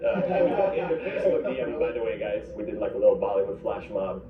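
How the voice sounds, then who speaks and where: A man's voice: lowish voice, big pitch swings, fast, a loud crowd. A thoughtful man explaining his views casually and checking in with "right?". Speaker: contestant. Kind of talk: casual interview answers. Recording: tinny, echoey, distant clip.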